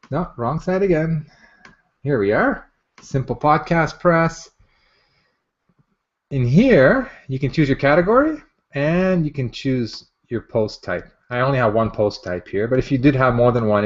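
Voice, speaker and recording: Male demo host, mid-pitched, informal and upbeat, chatty with viewers; muffled lo-fi webinar audio. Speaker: software presenter hosting a live online demo. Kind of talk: casual live product demo. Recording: dull, muffled lo-fi online audio.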